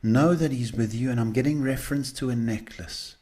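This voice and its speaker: A man hosting live psychic readings, talking casually and haltingly as he advises people, often checking in with a short questioning word. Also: mid-range voice, dark tone. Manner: halting, repeats "okay" as a check. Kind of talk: live advice to callers, casual spiritual talk.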